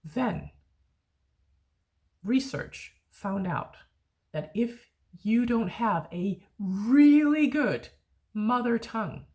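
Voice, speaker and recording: A woman's voice: fairly high pitch, animated, darkish tone. Danish woman tutor, chatty and reflective. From Denmark. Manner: reflective, chatty, with searching pauses and fillers. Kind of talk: casual online lesson talk.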